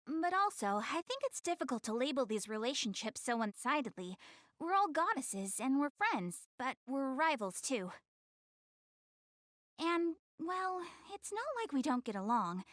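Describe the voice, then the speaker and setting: A girlish anime game goddess with a high, lively voice. She is prim and proud, yet shy and quick to get flustered, stammering as she backtracks. The sound is close and dry. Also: dry recording, heavily acted. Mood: nervous, earnest. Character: young goddess girl, proud but easily flustered, tidy, shy. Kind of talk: anime game English dub.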